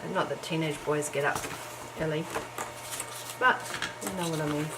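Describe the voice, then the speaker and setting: A middle-aged woman chatting casually while she crafts at home early in the morning, her voice croaky from just waking up, with lots of ums and small laughs. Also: low voice, steady tone, moderate pace. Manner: chatty and self-deprecating, with frequent ums and little laughs. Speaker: crafting vlogger. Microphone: camera's built-in mic. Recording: noisy home camera recording.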